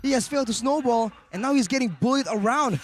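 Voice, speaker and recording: A man giving energetic analysis as color commentator on an esports match. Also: very high-pitched, fast, faint music behind.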